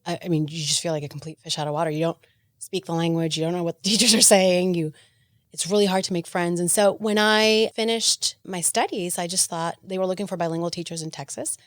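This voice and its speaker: A woman's voice: lowish voice, steady tone, brisk, no echo. A woman in her forties who grew up in Argentina with Spanish as her first language, answering interview questions in a friendly, reflective way and often restarting her sentences. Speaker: podcast guest, HR executive.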